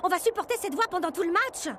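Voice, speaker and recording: Very high-pitched, sardonic cartoon commentator. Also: female voice, lively intonation, fast talker, a bit bright, shouting, a little hiss or hum. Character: lively, sardonic female football commentator. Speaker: sports commentator.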